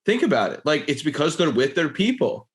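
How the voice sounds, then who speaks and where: A man from Philadelphia hosting a podcast, talking in an earnest, animated way and often restarting his phrases. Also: on the high side, fairly quick. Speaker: podcast host. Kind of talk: casual conversation.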